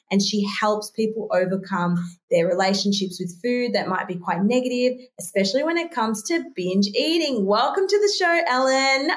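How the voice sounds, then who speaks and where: A woman hosting a mental health podcast, introducing and questioning her guest with enthusiasm. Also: on the high side. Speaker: podcast host. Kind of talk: interview hosting.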